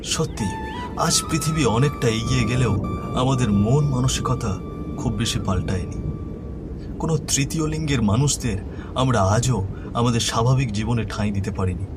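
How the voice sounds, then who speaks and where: A man's voice: mid-range voice, moderate pace, over loud music. A man narrating a scripted horror story in a solemn, earnest manner.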